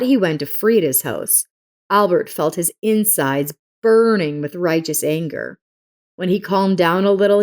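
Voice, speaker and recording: A woman narrator reading a scripted dramatic story. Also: lowish voice.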